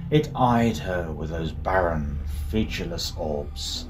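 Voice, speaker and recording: A man's voice: low voice, expressive, takes it slowly, noisy background. A man narrating a dramatic scripted horror story in a theatrical, suspenseful manner. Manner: theatrical, suspenseful delivery with swelling intensity. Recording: music behind the voice. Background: music.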